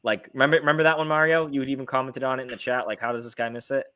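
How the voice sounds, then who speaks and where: A man's voice: mid-range voice, level tone, racing, sharp tone. A man hosting a casual football fan podcast, talking through the game in an informal, conversational way. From United States. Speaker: sports podcast host.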